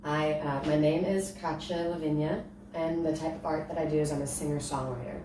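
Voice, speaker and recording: A woman's voice: deep voice, fairly even intonation, a little fast. A young woman from Romania, a singer-songwriter, talking candidly as an interview guest with hesitant fillers. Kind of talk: casual interview. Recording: echoey room, distant mic, faint noise.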